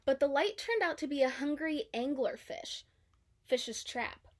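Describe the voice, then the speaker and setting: Higher-pitched woman reading a story aloud. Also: expressive, a little slow. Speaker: storybook reader. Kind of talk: scripted read-aloud narration for children.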